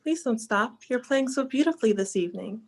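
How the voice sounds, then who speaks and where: A woman acts a gentle companion in a 1940s radio drama. The voice is somewhat high, and the delivery is soothing, earnest and reassuring. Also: animated, brisk. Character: Helen, gentle, reassuring companion of troubled musician.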